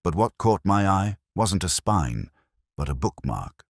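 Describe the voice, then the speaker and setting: Very deep husky man narrating a mystery. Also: very animated, no room sound, clean studio sound. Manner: measured, brooding, literary delivery. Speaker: narrator of a detective story. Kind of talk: scripted first-person mystery narration.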